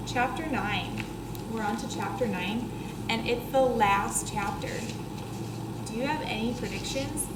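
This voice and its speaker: Young woman teacher, high voice, echoey classroom. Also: a little slow. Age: young adult. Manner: expressive, addressing young children with questions and explanations. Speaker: first grade teacher. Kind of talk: reading a children's book aloud to pupils. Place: school classroom, indoors. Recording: roomy classroom echo, clear background noise.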